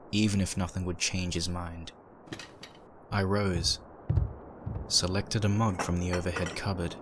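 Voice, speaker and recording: A man acting as the brooding, uneasy narrator of a dark horror audio drama, reading in a dramatic scripted style. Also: low voice. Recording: noisy, with background ambience effects.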